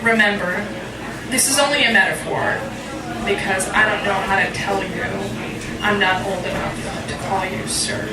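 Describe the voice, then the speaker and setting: A woman poet giving a live reading, deliberate in her phrasing and frank and informal in her delivery. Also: medium pitch, fairly even intonation. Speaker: poet, featured reader. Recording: echoey, distant mic, loud crowd noise.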